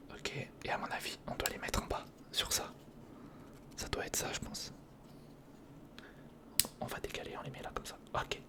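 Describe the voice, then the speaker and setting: A man whispering an informal ASMR narration of hands-on work, thinking aloud and softly repeating words, close to the microphone. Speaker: ASMR video creator. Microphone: close recorder or studio microphone.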